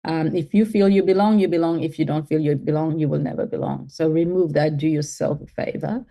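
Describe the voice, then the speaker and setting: A woman from Mauritius speaks as an interview guest, her voice low and mellow. She thinks aloud, circling back with fillers and restarts as she builds each answer. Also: steady tone, fairly quick, vocal fry. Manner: thoughtful, with many fillers and restarts. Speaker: guest, health tech CEO.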